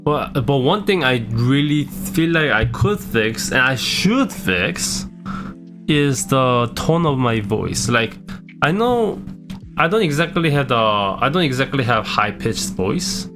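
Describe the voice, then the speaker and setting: Low-voiced accented man, hesitant and self-deprecating. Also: very animated, takes it slowly. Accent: non-native English accent. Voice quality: low-pitched. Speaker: streamer. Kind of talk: casual reflective vlog. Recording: background guitar music.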